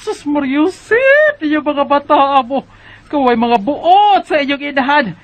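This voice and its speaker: A man voicing a gossipy neighbor woman in a radio drama, nosy and quick to scold. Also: very high-pitched, sing-song, pitched above the performer's own voice, exaggerated voice.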